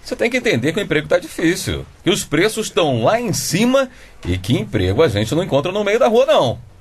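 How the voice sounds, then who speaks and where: An exasperated Brazilian dad in a radio comedy drama. He is a middle-aged, fussy schoolteacher who scolds melodramatically. His husky, fairly high voice swings wildly up and down. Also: wildly swooping pitch, brisk, heavily acted. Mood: stern, resigned. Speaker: actor.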